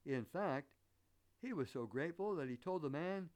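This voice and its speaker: A man from the northern United States with an acquired Southern American accent, preaching a sermon in an earnest, deliberate manner. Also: fairly high pitch, expressive, darkish tone. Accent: American, picked up Southern. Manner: earnest, deliberate, rhetorical questions. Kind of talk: sermon with illustrative anecdotes.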